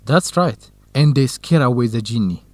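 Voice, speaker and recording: A man's voice: mid-range voice, expressive, moderate pace, no echo, close to an everyday voice. A man playing a sociable character in scripted dialogue for an educational radio drama. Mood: conversational.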